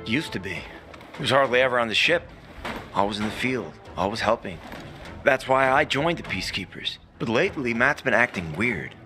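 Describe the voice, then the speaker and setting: A man's voice: mid-range voice, animated, moderate pace, over music, natural voice. An actor playing a frustrated male guard who grumbles frankly about his commander. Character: male rank-and-file soldier, frustrated and loyal. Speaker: checkpoint guard. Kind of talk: video game cutscene acting.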